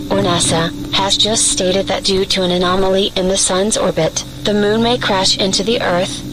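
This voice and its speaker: A woman reading a scripted emergency alert in a formal, measured way as a broadcast announcer. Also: medium pitch, background noise.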